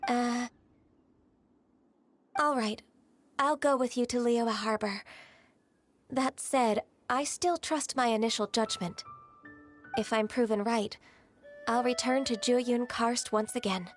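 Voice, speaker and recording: A gentle, slightly high voice belonging to a reserved half-divine guardian. She speaks softly and formally, often hesitating, earnest and quietly unsure of herself. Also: slow, long pauses, soft background music. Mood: troubled. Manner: soft-spoken, hesitant, formal and polite. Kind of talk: video game voice acting.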